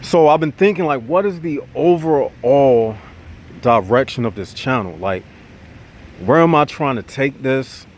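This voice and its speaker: A man's voice: medium pitch, measured pace. Preachy man with a stuffy nose. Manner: preachy, animated, addresses viewers as y'all. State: nasal, getting over congestion. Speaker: channel host. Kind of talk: casual monologue to viewers.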